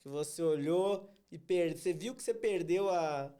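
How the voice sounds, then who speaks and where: A man's voice: fairly high pitch, dark tone, clear, resonant tone. A man from Santa Catarina with a southern Brazilian accent, hosting a casual podcast interview while he has a cold. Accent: Santa Catarina Brazilian Portuguese. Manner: chatty, storytelling, slows down to be understood. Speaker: podcast host, sales trainer.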